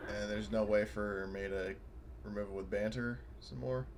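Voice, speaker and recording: A man's voice: on the low side, fairly even intonation, moderate pace, darkish tone. A man playing a tabletop roleplaying game, casually chatting and speculating with the other players. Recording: noisy mic, background ambience.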